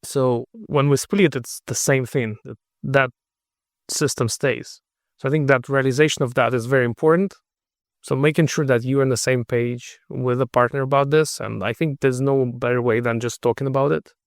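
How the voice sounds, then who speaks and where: A middle-aged man with a Russian accent talks things through in a measured, thoughtful way, restarting his phrases as he searches for the right words. His mid-pitched voice has a gravelly edge. The sound is dry and close. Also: steady tone, dry recording. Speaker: podcast guest. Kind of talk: casual interview conversation.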